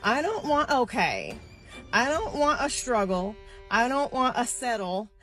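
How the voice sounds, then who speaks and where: A woman's voice: fairly high pitch, big pitch swings, a little slow, a bit bright, soft background music. A woman speaking earnestly and emphatically to camera about what she wants. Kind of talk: earnest vlog confession.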